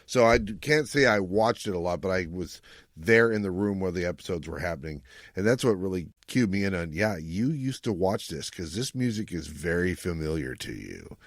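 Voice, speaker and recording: Low-voiced middle-aged man, close dry sound. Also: expressive, normal speed, no room sound. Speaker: podcast co-host. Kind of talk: casual chat recapping an episode.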